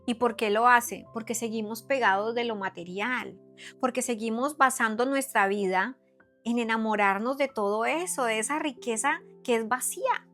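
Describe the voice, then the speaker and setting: A woman's voice: on the high side, moderate pace, fairly bright tone, faint music behind. A middle-aged woman with a Colombian accent from Cali, giving an earnest, emphatic devotional talk with repeated phrases and rhetorical questions. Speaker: psychotherapist giving faith talk. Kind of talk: personal testimony and devotional talk to followers.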